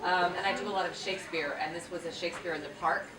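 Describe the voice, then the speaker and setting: A woman's voice: lowish voice, fairly even intonation, fast talker, crowd noise. A woman voice actress talking casually on a convention panel, chatty and full of restarts. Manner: chatty, with frequent restarts and fillers. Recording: echoey hall, distant mic, noisy.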